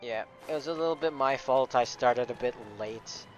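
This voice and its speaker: A young man streaming a game with casual, halting, slangy commentary. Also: mid-range voice, lo-fi sound. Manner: laid-back, halting, slangy. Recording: game music underneath.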